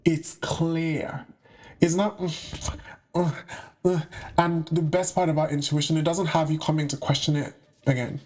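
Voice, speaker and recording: A young man from the UK talks casually to the camera with a higher-than-usual voice. His speech is chatty and full of slang, with playful asides as he shares spiritual advice. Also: level tone, measured pace, a little mellow. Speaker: spiritual content creator.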